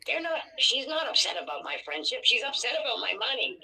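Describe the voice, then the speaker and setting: A woman with a raspy voice venting casually in a blunt, profane, self-deprecating way. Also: a little high, very animated, fairly quick, bright, forceful tone, poor audio.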